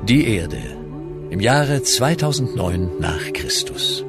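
Slow, grand, mock-solemn narrator over music. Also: male voice, mid-range voice, huge pitch swings, full character voice. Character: grand, mock-solemn male storyteller of a cosmic serial. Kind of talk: scripted comic narration.

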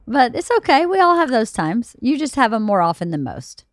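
A woman's voice: fairly high pitch, sing-song, a little fast, no echo, clean studio sound. A woman in her late forties speaking in casual, intimate conversation as a podcast co-host, candid, reassuring and reflective. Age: late 40s.

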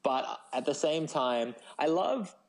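A man's voice: a little high, very animated, slow. A man speaking as a guest novelist in a casual interview, rambling and self-deprecating, with hesitant starts. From Australia. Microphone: phone call.

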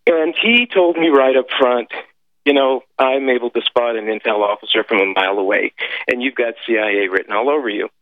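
A man whose first language is French, a guest telling his story in a radio interview, pausing often with filler sounds and restarts. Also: mid-range voice, dry recording. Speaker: guest, former intelligence officer. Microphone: phone call. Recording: tinny phone line, dry.

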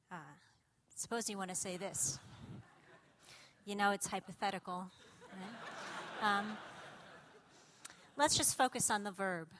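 A woman whose native language is Russian, giving a public lecture on language and thought in a lively, engaged way with frequent ums and uhs. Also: on the low side. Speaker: cognitive scientist giving a lecture.

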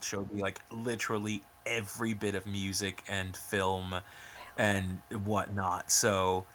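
A man guesting on a podcast, chatting in an animated way with frequent giggles. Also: lowish voice, slow, a little hiss or hum. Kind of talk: casual chat.

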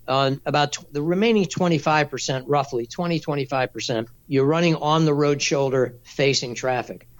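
A man being interviewed, explaining things in a measured, practical way with occasional fillers. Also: medium pitch. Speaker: race director. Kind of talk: casual interview answers.

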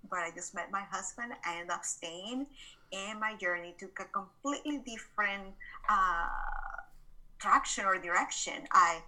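Lady in her 40s on a podcast, bright voice, thoughtful and earnest, restarts a lot. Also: mid-range voice, normal speed, rough recording. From Venezuela. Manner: reflective, earnest, with frequent restarts. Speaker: podcast guest. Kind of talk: personal storytelling in an interview.

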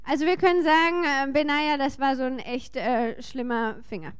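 A woman working as a sermon interpreter, speaking in short, informal and somewhat halting phrases. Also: high voice.

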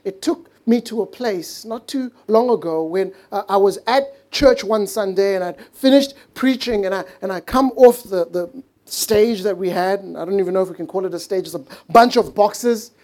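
A pastor preaching a sermon in earnest, drawing out key words slowly and dropping to a hush for emphasis. English is not his first language. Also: high voice.

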